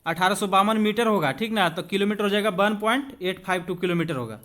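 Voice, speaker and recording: High-pitched male physics teacher. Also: brisk, fairly bright tone. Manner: conversational explaining with rhetorical questions to students; switches between Hindi and English terms. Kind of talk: exam-prep lesson working through questions.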